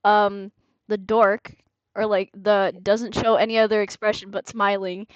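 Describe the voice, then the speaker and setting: A young woman hosting a casual fan chat on a voice call, bantering excitably and full of opinions. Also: medium pitch, measured pace, a little hiss or hum. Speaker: host of a fan ship-rating stream. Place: indoors on a Discord call. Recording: dull, muffled voice-call audio.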